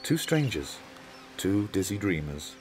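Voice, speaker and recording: Lovesick haunted passenger, low voice, lyrical, intimate, audio drama. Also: male voice, big pitch swings, measured pace, a little hiss or hum. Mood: dreamy, fading. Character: lovesick male ship passenger, haunted and devoted. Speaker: narrator-protagonist of an audio play. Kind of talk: first-person audio drama monologue. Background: loud music score.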